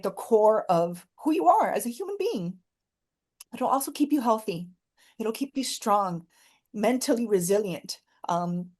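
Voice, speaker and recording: A woman's voice: fairly high pitch, darkish tone. A woman speaking as a podcast guest in an earnest, encouraging, heartfelt conversational way, repeating phrases for emphasis.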